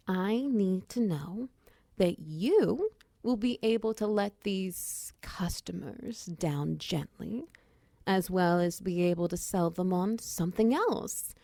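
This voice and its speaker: A woman's voice: mid-range voice, gravelly, darkish tone, dry recording, clean studio sound. A woman playing a friendly, flustered minotaur wizard who runs a potion shop, chatty and giggly in a roleplay audio drama. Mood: wry, exasperated. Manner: chatty, giggly, casual and conversational. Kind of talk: roleplay ASMR audio drama, addressing the listener.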